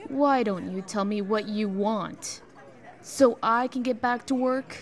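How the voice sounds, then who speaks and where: A woman's voice: mid-range voice, takes it slowly. A woman playing an icy, venomous executive and scornful ex-wife, sarcastic and withering, in a comic dub.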